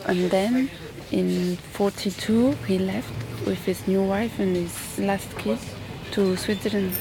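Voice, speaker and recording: A woman speaks slowly and carefully, searching for words in English that is not her first language. Street noise and traffic sound clearly behind her. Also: mid-range voice, steady tone. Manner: slow, searching phrasing in non-native English. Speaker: traveler telling a family story. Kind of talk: casual conversation.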